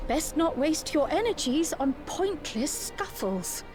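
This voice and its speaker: High-voiced, composed young scholar. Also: female voice, sing-song, slow, heavily acted. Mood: cool. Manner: composed, precise, formal. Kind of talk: scripted video game voice acting. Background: music.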